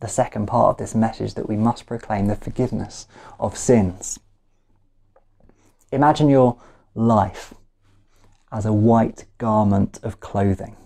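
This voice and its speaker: Slow, mellow man preaching. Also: fairly low pitch, steady tone, creaky. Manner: deliberate, emphatic repetition with measured pauses. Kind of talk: sermon. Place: small living room at home, indoors.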